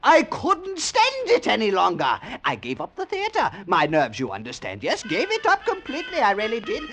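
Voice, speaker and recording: An actor playing a nervous, flamboyant former stage actor, florid and fussy, in vintage radio horror style. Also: male voice, high voice, wildly swooping pitch, normal speed, fairly bright tone, soft background music, heavily acted. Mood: wounded, fussy. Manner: theatrical, florid, fussy, chattering. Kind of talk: 1950s radio horror acting. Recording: slightly noisy vintage radio recording.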